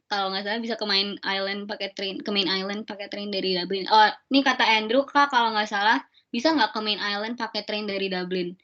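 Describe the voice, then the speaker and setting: A woman's voice: medium pitch, fairly even intonation, fairly quick, a bit bright. A young woman hosting a student Q&A in casual chat, explaining things with lots of fillers over a video call. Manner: chatty, explaining with many fillers. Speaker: student event host. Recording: dull lo-fi video call audio.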